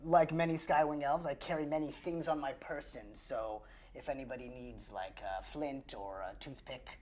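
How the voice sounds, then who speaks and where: Woman w a really low voice doing improv roleplay at a game table, wry and jokey, kinda halting. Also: fairly even intonation, a little mellow, a little hiss or hum.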